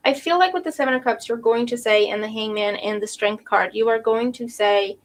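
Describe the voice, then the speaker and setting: A woman's voice: on the high side, fairly quick. A woman giving a live tarot reading to viewers, losing her voice with a cold, thinking aloud and often checking in with "right?". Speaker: tarot reader.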